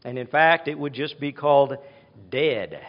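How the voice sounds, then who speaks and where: A male preacher with a fairly high voice speaks at a slow, measured pace, stressing his points through repetition. His voice carries a long hall reverb, and the sound is somewhat dull. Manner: slow, deliberate teaching with emphatic repetition. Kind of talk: sermon.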